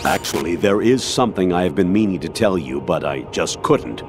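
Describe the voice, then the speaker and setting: Very deep-voiced military colonel. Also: male voice, expressive, normal speed. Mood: confessional. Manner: commanding, clipped, formal, sliding into rambling non sequiturs. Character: stern older male military colonel turned eerily erratic. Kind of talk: dramatic video game voice acting. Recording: music behind the voice. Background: loud music.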